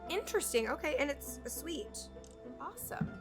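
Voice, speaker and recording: A woman with a fairly high voice chats casually as she streams a game live. Her commentary is offhand, and game music is clearly heard behind her. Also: sing-song, normal speed.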